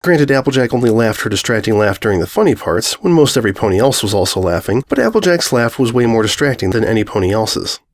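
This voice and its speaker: A man's voice: on the low side, very animated, fast talker, hardly any pauses. A man reading a scripted story aloud as its narrator.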